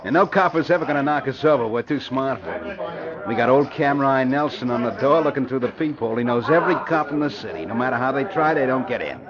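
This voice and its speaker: An actor playing a cocky, boastful gambling-club gangster in an old radio crime drama, with tough city slang delivery. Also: male voice, medium pitch, animated, fast talker, natural voice. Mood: boastful, showing off. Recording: muffled old radio recording, crowd murmur behind.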